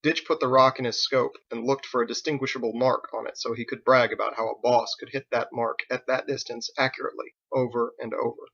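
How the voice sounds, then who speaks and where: A man's voice: mid-range voice, steady tone, brisk, vocal fry, few pauses, fairly bright tone, slightly rough, lo-fi sound. A man reading scripted audiobook narration as the story's narrator. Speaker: author narrating his own story.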